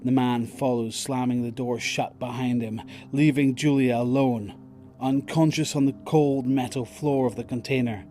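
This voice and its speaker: A man's voice: medium pitch, steady tone, moderate pace, warm tone. A man reading scripted dramatic narration as the narrator of an audio drama. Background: prominent music.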